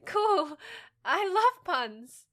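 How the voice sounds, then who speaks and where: An actress playing a frank, wry half-human job seeker in a fiction podcast, candid and slightly awkward. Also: female voice, very high voice, pushed and bright, exaggerated voice. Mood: friendly, awkward.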